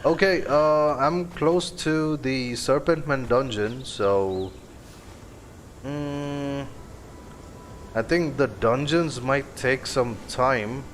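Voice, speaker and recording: Slow, rambling male game streamer. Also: mid-range voice, background noise. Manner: unhurried, rambling, many fillers. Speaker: gameplay streamer. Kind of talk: casual live-stream commentary.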